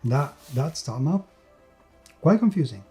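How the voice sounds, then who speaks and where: A man's voice: on the high side, big pitch swings, a little slow, mellow, soft background music. A man giving an enthusiastic, unscripted game review, emphatic and repeating words for stress.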